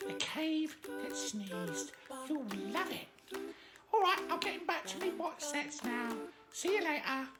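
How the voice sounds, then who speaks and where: A woman's voice: high-pitched, expressive, measured pace, over loud music, voice pushed up. A woman voicing a laid-back, whiny little puppet pal in a children's skit. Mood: lazy, chatty.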